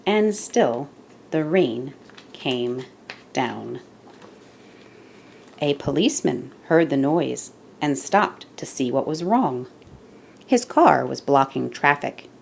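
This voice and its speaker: Woman, children's story reader, slightly low pitch, very animated intonation, unhurried pace, expressive emphasis. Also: vocal fry, a little hiss or hum. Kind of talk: read-aloud picture book narration.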